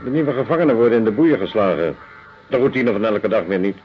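A man's voice: lowish voice, quick pace, lightly acted. A man playing a callous, practical prison commandant in a Dutch radio drama, curt and dismissive. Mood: brusque, callous. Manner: curt, matter-of-fact, dismissive. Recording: dull lo-fi radio recording, music behind.

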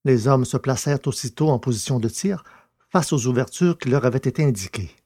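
A man's voice: mid-range voice, a little fast, warm tone, slightly rough, no room sound, studio quality. A man reading a scripted science-fiction story as its narrator.

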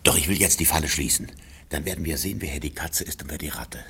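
A man's voice: extremely low pitch, fast, creaky, a bit bright, a little hiss or hum, full character voice. A man playing a vengeful, scornful plotter in a classic German radio comedy.

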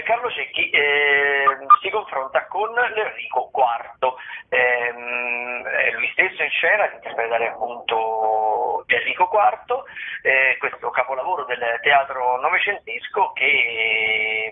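A man's voice: medium pitch, husky. A man giving theatre listings and recommendations on the radio over a phone line, hesitant and often correcting himself as he goes. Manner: hesitant, halting, self-correcting delivery. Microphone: phone call. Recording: tinny band-limited telephone line.

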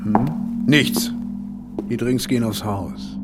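An actor playing a laconic barkeeper, terse and unhurried, in a radio play. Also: male voice, medium pitch, heavy background noise, lightly acted. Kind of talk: German radio play dialogue.

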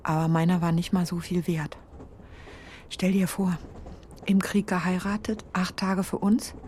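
Young widow, acted by a woman, audio drama, low pitch, hushed, half-whispered, intimate, resolute, faint background ambience. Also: little pitch movement, unhurried, slight background noise, close to an everyday voice. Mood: hushed, bitter. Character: resolute young widow murmuring confidences at night. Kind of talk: literary audio drama dialogue.

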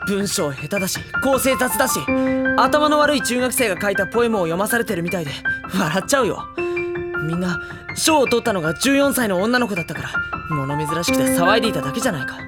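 Gentle, reserved teenage boy played by a woman, music underneath. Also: mid-range voice, animated, fast, close to an everyday voice. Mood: bitter outburst. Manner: soft-spoken, hesitant, polite. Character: gentle, reserved high school boy with bottled-up anguish. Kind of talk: anime audio drama acting with inner monologue. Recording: studio drama with music underneath.

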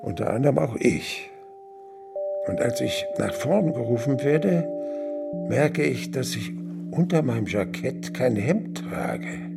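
A man's voice: medium pitch, expressive, takes it slowly, creaky, over loud music. An actor playing a grave man recounting a dream in sombre first-person radio narration. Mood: sombre, uneasy.

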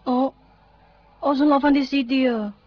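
A woman's voice: high-pitched, steady tone, moderate pace, natural voice. An actress playing a hurt, insistent young woman in a radio drama, speaking plaintive Hong Kong Cantonese. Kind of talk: 1990s radio drama dialogue. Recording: muffled 1990s radio drama recording.

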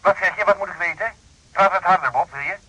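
An actor in a radio drama playing a busy, brisk but concerned friend, with a rough voice over the telephone. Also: male voice, sits high, pushed and bright, no echo, heavily acted, low-quality recording. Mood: harried, impatient. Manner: brisk, impatient yet caring.

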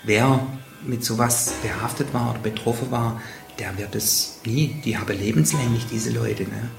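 Gravelly man in an echoey room. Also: mid-range voice, normal speed, music in the background. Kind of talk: informal spoken commentary.

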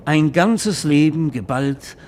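An actor playing an eager, self-absorbed screenwriter and romantic dreamer in a German radio play, speaking in a florid, rhapsodic, theatrical way. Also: male voice, fairly high pitch, expressive, takes it slowly, a little mellow, slight background noise. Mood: rapt, rhapsodic.

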